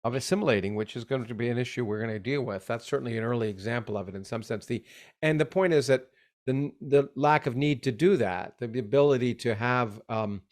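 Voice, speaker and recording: Older guy hosting a podcast, lots of restarts and ums, mid pitch. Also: a little mellow. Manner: halting, many restarts and fillers. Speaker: podcast host. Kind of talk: interview conversation.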